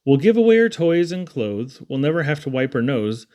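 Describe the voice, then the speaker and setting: A man's voice: on the high side, very animated, normal speed, a little mellow. A man reading humorous children's poems aloud in a theatrical, playful storytelling manner. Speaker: poetry reader.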